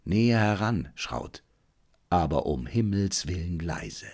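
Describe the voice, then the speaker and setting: A man's voice: deep voice, very animated, slow pace, voice pushed down, heavily acted. A man voicing a commanding, cool-headed detective in an audiobook, terse and urgent. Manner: terse, urgent, hushed at times.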